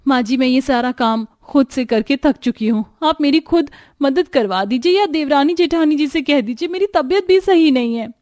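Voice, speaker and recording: Woman playing overworked young daughter-in-law, cartoon story, high pitch, complaining, emphatic, dry close sound. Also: normal speed, few pauses, darkish tone, no room sound, natural voice. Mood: exasperated, pleading. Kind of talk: Hindi cartoon story acting.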